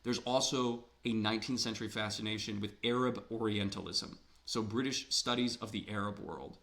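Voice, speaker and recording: A man's voice: on the low side, steady tone, moderate pace, fairly bright tone. A man from Ohio giving a literature lecture in a conversational way, with rhetorical questions, his voice a little worn. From Ohio, USA. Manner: conversational, asks rhetorical questions, repeats words when emphasizing. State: slightly losing his voice.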